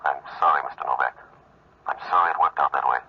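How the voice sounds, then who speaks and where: An actor playing a sober, concerned priest in a 1940s radio drama, earnest and questioning. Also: male voice, rumbling low, fast talker, gravelly, breathy edge, exaggerated voice. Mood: sorrowful, insistent. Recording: dull, phone-like old radio recording.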